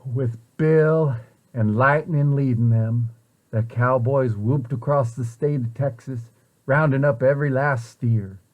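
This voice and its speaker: A man reading a children's picture book aloud with expressive, deliberate storyteller phrasing. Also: mid-range voice, phone-quality sound.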